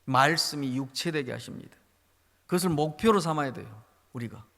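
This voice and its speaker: A Korean pastor with a Jeolla accent preaches an emphatic, storytelling sermon. His high voice swings up and down. A long church reverb trails behind him. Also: lively intonation, fairly quick, vocal fry, pushed and bright. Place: church sanctuary.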